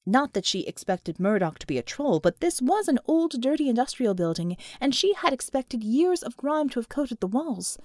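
A woman reading scripted fiction as an audiobook narrator, with expressive, dramatic storytelling. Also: high-pitched, moderate pace, hardly any pauses, no echo, studio quality.

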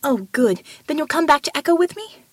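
A woman's voice: high-pitched, expressive, a little fast, no echo, voice pushed up. A woman voicing an earnest female police detective who pleads insistently and babbles with urgency in an audiobook story. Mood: anxious, questioning.

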